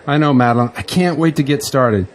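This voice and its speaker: An actor playing an exuberant, grandiose newly elected politician in an audio drama, with an excitable, speechifying manner. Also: male voice, mid-range voice, brisk, slight background noise, natural voice. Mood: eager, affectionate.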